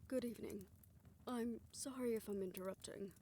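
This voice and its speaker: A woman playing a courteous, formal messenger in a fantasy audio roleplay, speaking in measured phrases. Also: fairly high pitch, mellow, breathy edge. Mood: grave, reluctant. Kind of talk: scripted audio roleplay acting.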